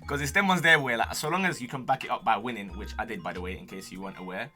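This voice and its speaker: Young male streamer, London accent, quick slangy chat with cocky, teasing confidence, over music. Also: on the low side, pushed and bright. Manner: slangy, cocky, playful banter. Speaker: streamer and gamer answering viewer questions. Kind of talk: casual chat to camera.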